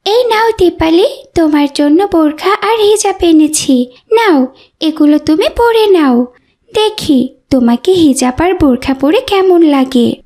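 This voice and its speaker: A woman's voice: extremely high pitch, lively intonation, a little slow, a little mellow. A woman voicing a kindly, devout young schoolgirl in a cartoon, sincere and encouraging. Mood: kindly, reassuring. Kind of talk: cartoon dialogue acting.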